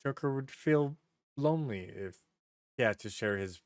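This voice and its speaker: A man's voice: medium pitch, expressive, normal speed, dry recording, clean studio sound. A man hosting a podcast in casual pop-culture chat, speaking in an informal, sweary, emphatic way. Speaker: podcast host.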